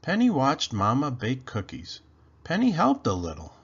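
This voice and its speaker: A man reading a children's story aloud in an expressive, animated, deliberate way. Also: mid-range voice, breathy edge, lo-fi sound. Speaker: storybook read-aloud host.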